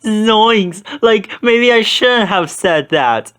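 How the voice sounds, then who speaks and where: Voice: mid-range voice, lively intonation, takes it slowly, a bit bright, clear, resonant tone, voice pushed up. A reader voicing a cowardly, goofy young male hippie slacker with cartoonish exclamations in a storybook read-aloud. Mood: panicked. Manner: cartoonish exclamations, sprinkles speech with like.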